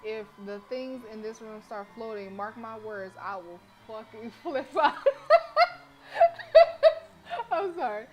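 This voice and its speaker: A woman livestreamer reading a visual novel aloud with informal, expressive commentary. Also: on the high side, takes it slowly, pauses often, background noise. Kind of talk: casual game commentary and read-aloud narration.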